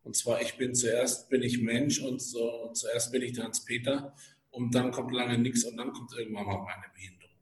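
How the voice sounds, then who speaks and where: A man of about fifty introducing himself as an interview guest, speaking haltingly and restarting his phrases. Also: mid-range voice, steady tone. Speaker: podcast guest, office employee in leasing. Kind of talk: halting spontaneous self-introduction. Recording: roomy, echoing indoor sound.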